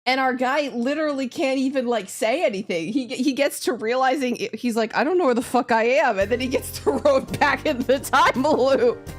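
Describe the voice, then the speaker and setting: A woman's voice: high voice, moderate pace, few pauses. A woman streaming a game with casual, exclamatory live commentary, often repeating her phrases. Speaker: streamer playing a visual novel. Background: game music.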